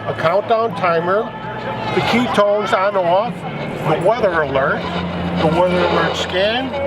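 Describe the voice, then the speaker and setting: A man's voice: sits high, unhurried, poor audio. A man from a radio company giving a step-by-step product demonstration on a busy trade show floor, explaining settings with some restarts. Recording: loud crowd and noise. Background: loud crowd chatter.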